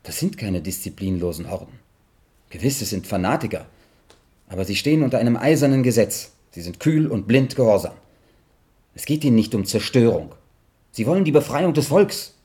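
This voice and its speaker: An actor playing a troubled, earnest investigator in a German science fiction radio drama, with a husky voice. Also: male voice, mid-range voice, lively intonation, a little fast, dark tone. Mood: earnest, intense. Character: troubled, restless male investigator, earnest and insistent.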